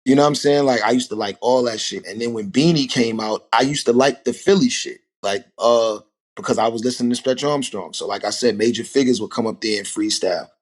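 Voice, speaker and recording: Animated New rapper in his forties. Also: mid-range voice, fairly quick. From Harlem, New York. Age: 40s. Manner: animated storytelling, rhetorical asides. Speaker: rapper guest. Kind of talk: casual podcast conversation.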